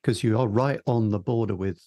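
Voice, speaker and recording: Mellow low male host. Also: dry recording, studio quality. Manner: measured, probing questions. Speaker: podcast host. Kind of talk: interviewing.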